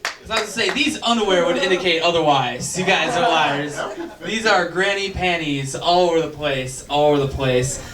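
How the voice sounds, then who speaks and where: A man in his late twenties doing a stand-up set, nerdy and self-deprecating with quick asides. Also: on the high side. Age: 20s. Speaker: stand-up comedian. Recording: echoey club, far mic, crowd.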